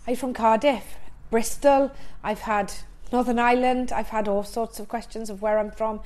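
A woman's voice: fairly high pitch, fairly even intonation, normal speed, a little mellow. Frank woman with a Welsh accent. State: has a cold. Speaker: interviewee. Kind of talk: casual talk in a news report.

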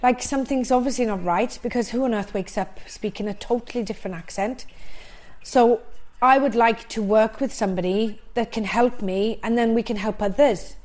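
A woman's voice: a little high, moderate pace, darkish tone. A woman with a Welsh accent, talking frankly and chattily in a news report interview. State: has a cold. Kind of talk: casual talk in a news report.

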